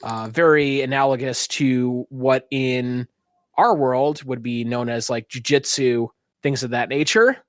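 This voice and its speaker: A man's voice: medium pitch, fairly even intonation, unhurried, no room sound. Man running a tabletop game, dry close sound. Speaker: game master and show host. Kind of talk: improvised tabletop game narration with casual banter.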